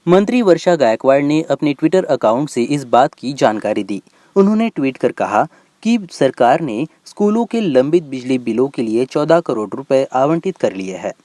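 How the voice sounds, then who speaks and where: A man presents scripted news in a medium-pitched voice. His intonation rises and falls in a lively way as he reads each report. The sound is dry, with no room echo. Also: normal speed. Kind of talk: scripted news narration in a bulletin.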